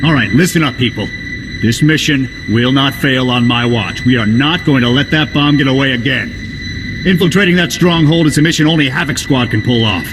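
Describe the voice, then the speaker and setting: An actor playing a gruff, commanding army lieutenant in a video game, giving orders with authority. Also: male voice, mid-range voice, fairly quick, background noise, natural voice. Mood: stern, urgent. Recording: loud music under the dialogue.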